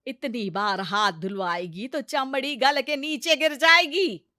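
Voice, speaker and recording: A woman's voice: high voice, takes it slowly, bright, forceful tone, no room sound, clean studio sound, full character voice. A woman playing a stubborn, hot-tempered village mother-in-law in a comic cartoon story, scolding and brushing off advice with brash retorts. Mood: defiant, scolding.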